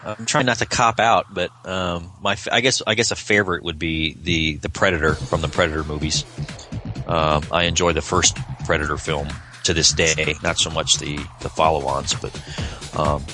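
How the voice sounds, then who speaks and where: A man's voice: very deep voice, fairly even intonation, normal speed, music in the background. A man chatting casually as a podcast guest, opinionated and given to wry, joking remarks. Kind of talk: casual chat.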